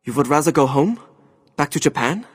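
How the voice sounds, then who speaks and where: A man playing a calm, thoughtful German mutant hero in a video game, with a German accent and formal, measured phrasing. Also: on the high side, breathy edge. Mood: gentle, reflective. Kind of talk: video game cutscene acting.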